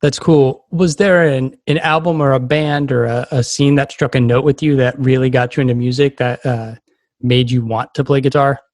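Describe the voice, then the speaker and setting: A man's voice: medium pitch, fairly even intonation, moderate pace, darkish tone. An American man hosting a casual interview, easygoing, with brief affirming responses and simple questions. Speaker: podcast host, interviewer.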